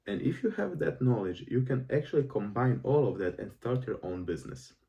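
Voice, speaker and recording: A nineteen-year-old man with a Croatian accent, speaking English as a second language. His tone is mellow and relaxed, and he rambles earnestly with restarts and fillers. He sits a little away from the mic. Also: mid-range voice, brisk, vocal fry, far from the mic, muffled recording. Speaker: self-improvement vlogger. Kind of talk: casual monologue to camera.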